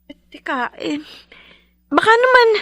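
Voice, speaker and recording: A woman's voice: very high voice, sing-song, a little slow, sharp tone, no room sound, studio quality, exaggerated voice. A woman playing a chatty, concerned young best friend in a Filipino radio drama, with theatrical, exclamatory reactions. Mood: concerned surprise.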